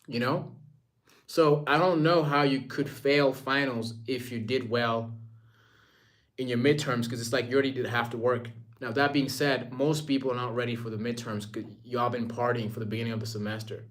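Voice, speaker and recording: A man's voice: medium pitch, fairly even intonation, normal speed. A young man from Rwanda talking casually and informally to his live-stream viewers, using slang and often repeating himself.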